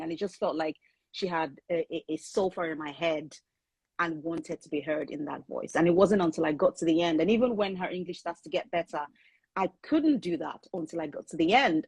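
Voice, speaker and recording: A woman's voice: sits low, breathy edge, dry recording, low-quality recording. A woman whose first language is Yoruba, speaking as an author in an interview, thoughtful and earnest, with small restarts as she explains her writing. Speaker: author and guest. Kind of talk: conversational interview.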